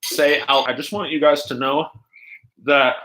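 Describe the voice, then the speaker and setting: A man hosting a livestream in casual chat, rambling haltingly and jumping between asides as he talks to viewers. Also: fairly high pitch, fairly even intonation, sharp tone, rough recording. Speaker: livestream host.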